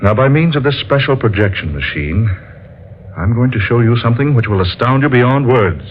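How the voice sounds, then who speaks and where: An actor playing a solemn male inventor who explains his discovery in a slow, lecturing way, in a 1940s radio drama. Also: mid-range voice, expressive, lightly acted. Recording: lo-fi old radio recording with faint hiss.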